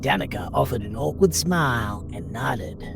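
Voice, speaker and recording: A man narrating a scripted horror story in a measured, dramatic storytelling manner. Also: medium pitch, expressive, creaky. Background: music.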